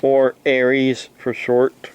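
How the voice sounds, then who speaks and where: A man talking unscripted to camera in a halting, deliberate, aggrieved way, like a homemade video monologue. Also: medium pitch, completely flat delivery, clean tone. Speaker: amateur radio operator, video blogger.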